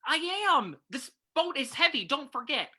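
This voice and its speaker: A woman's voice: high-pitched, very animated, moderate pace, bright, forceful tone, exaggerated voice. A woman playing a nervous male criminal accomplice in a radio mystery, curt and irritably complaining. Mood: irritable. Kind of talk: old-time radio mystery acting.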